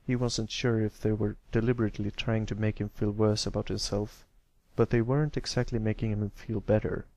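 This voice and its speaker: Man narrating a story, creaky voice. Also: lowish voice, little pitch movement, brisk, a little mellow, no room sound. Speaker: amateur audiobook reader.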